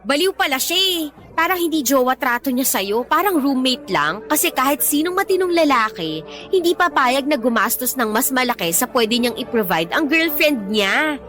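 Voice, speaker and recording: An actress playing a blunt, gossipy and loyal best friend, slangy and outspoken, in a Tagalog radio drama. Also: female voice, sits high, expressive, moderate pace, a bit bright, faint music behind. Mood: exasperated, supportive.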